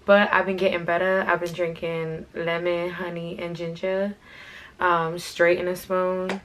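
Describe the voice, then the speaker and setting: Chatty young woman, slightly raspy, lowish. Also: little pitch movement, a little slow, fairly bright tone, slight background noise. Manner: chatty, slangy, repeats "like" and "you know what I'm saying"; talks while doing her hair. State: slightly raspy from being sick. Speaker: fashion channel host. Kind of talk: casual story time.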